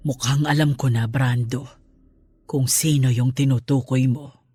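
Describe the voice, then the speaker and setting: A woman plays a caring middle-aged Filipino aunt in a radio drama. She speaks gravely and consolingly to family, with music playing underneath. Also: extremely low pitch, fairly even intonation, measured pace. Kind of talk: Tagalog radio drama acting.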